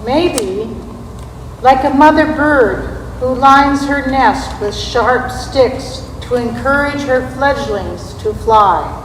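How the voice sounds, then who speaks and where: A woman giving a scripted dramatic recitation in a church readers theater, with a deliberate, measured delivery. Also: high voice. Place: large reverberant church space. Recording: echoey hall, distant mic, background noise.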